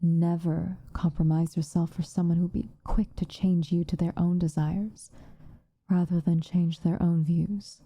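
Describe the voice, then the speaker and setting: A woman playing a stern but protective witch in an ASMR roleplay, speaking softly and formally, often in a breathy whisper. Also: on the low side, little pitch movement, no room sound, lo-fi sound. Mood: gently reassuring. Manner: measured, formal, gently commanding.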